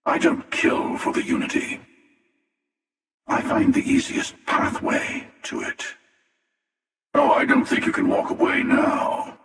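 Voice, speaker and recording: Echoey game villain, an older space hunter, slow taunting drawl, swoops up and down, sardonic and world-weary. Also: male voice, on the high side, huge pitch swings, vocal fry, fairly bright tone, exaggerated voice. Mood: menacing. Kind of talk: video game character voice lines. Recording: cavernous echo and long reverb, distant.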